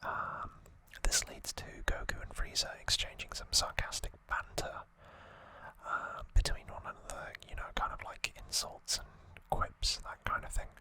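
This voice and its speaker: Hushed, breathy ASMR whisperer. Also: moderate pace, rough recording. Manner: soft, hesitant, explanatory. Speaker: ASMR host. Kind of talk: whispered ASMR trivia recap.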